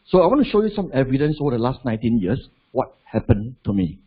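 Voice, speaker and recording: A husky man in his forties with a Malaysian English accent speaks to a seminar audience. He keeps it conversational and drops in "lah" and "right?" as he goes. The recording sounds dull and lo-fi, like a stage mic in a hall. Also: mid-range voice, big pitch swings, fast talker. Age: 40s. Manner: chatty, asks the crowd rhetorical questions, adds "lah". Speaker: motivational business speaker. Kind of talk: live seminar talk to an audience.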